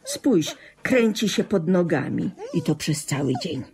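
An actress playing a cold, unsentimental middle-aged wife in a radio play, with a matter-of-fact, dismissive manner. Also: female voice, lowish voice, sing-song, unhurried, a little mellow.

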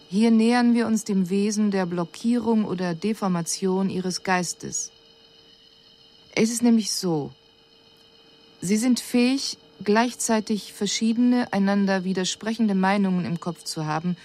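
An actress playing an alien observer who explains things in a measured, formal, didactic report for a radio drama. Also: female voice, mid-range voice, a little mellow, natural voice. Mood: cool, explanatory. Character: female alien observer coolly dissecting a self-destructive species.

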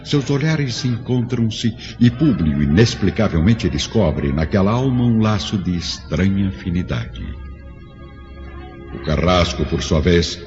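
The solemn storyteller of an ancient tragedy in a radio play. His very deep voice tells it gravely and with literary measure. Music plays clearly behind the slightly dull recording. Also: a little mellow. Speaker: narrator. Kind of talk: scripted dramatic narration for a radio play.

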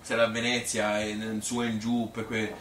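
In a roomy, distant and slightly noisy recording, a man plays a Tuscan mother looking back on married life in a theatrical monologue. The voice is on the low side, and the talk is colloquial, hesitant and wandering, often questioning itself. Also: completely flat delivery, normal speed, a bit bright, lightly acted. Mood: worried, reminiscing. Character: plain-spoken Tuscan mother reminiscing about marriage and pregnancy.

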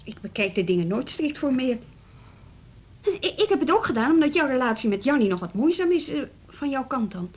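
Informal, lively-voiced music teacher. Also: female voice, high-pitched, a little fast, slightly rough, exaggerated voice. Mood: defensive. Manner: informal, hesitant, conversational. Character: informal, well-meaning female music teacher, conciliatory. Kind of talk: naturalistic radio drama dialogue.

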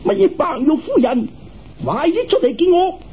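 一个男人用粤语口音扮演顽皮大胆嘅年轻道门徒弟，讲嘢冲口而出，录音发闷。. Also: male voice, extremely high pitch, very animated, moderate pace, a little hiss or hum, voice pushed up, heavily acted. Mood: brash, defiant. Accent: Cantonese. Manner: cheeky, brash, challenging. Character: cheeky, bold young disciple of a Taoist master. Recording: dull, muffled radio-style recording.

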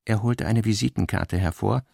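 A man's voice: on the low side, normal speed, vocal fry, a little mellow, breathy edge, dry recording, clean studio sound. A man narrating a literary radio drama, reading scripted prose as the storyteller.

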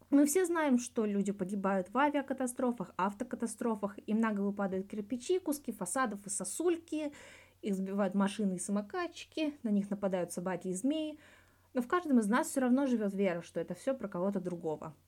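A woman's voice: mid-range voice, animated, brisk, darkish tone, lightly acted. A woman voicing a frank, colloquial male hockey star answering in an audiobook interview. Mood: reflective. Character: blunt, reflective male Russian hockey star giving an interview.

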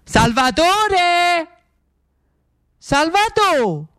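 Male actor as devilish Neapolitan tempter, raised pitch, coaxing, mockingly menacing, radio theatre, dry sound. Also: big pitch swings, drawn-out words, sharp tone, no echo, heavily acted. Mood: calling out. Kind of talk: theatrical radio monologue acting.

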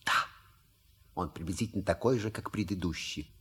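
An actor playing a suave, sly manservant in a theatrical audio play, urbane and elaborately polite with an ironic edge. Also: male voice, low voice, normal speed, slightly rough. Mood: dry, polite. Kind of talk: theatrical radio play acting.

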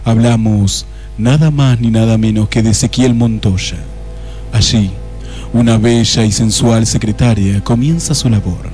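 A man's voice: on the low side, fairly even intonation, measured pace, darkish tone, soft background music, close to an everyday voice. Man as mock-solemn Argentine radio play narrator. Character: wry, dramatic male narrator of a detective spoof.

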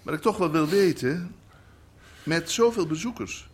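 An authoritative city alderman in a radio play. His fairly high voice moves with some liveliness, yet stays official and curt. A faint rumble lies behind him. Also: expressive, a little slow. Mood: stern, probing. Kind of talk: naturalistic audio drama acting.

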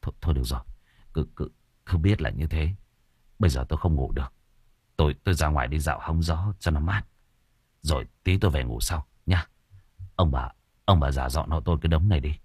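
Man playing a drunk young guy, stammering, rough and husky, dry sound. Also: extremely low pitch, fairly quick, pauses often, a little mellow, dry recording, pitched below the performer's own voice, full character voice. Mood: shaky.

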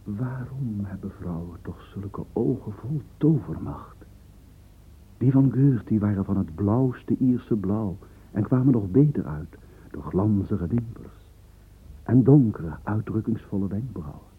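A man narrating a radio drama as an admiring storyteller, savouring florid lines in a very husky voice. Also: fairly low pitch, expressive, a little slow, heavily acted. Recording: muffled, phone-like radio play recording.

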